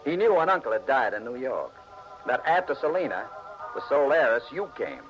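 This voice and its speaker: An actor playing a blunt, sardonic police trooper in a 1940s radio crime drama, talking in a hard-boiled, declarative way. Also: male voice, mid-range voice, very animated, a little fast, soft background music, natural voice. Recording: dull old radio recording.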